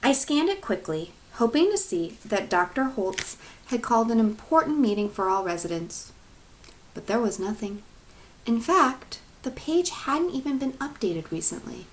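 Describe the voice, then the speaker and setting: A woman teacher reading a storybook aloud to her class in an expressive narrating style. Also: on the high side, normal speed, poor audio.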